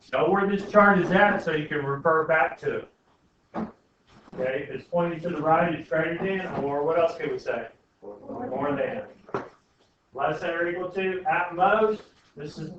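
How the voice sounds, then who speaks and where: A man's voice: fairly high pitch, fairly bright tone. Man teaching in a very echoey room. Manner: informal, frequent checking questions. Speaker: algebra teacher. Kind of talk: classroom lesson, thinking aloud with questions to students. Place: indoor classroom. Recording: very echoey classroom, distant mic, muffled, faint noise.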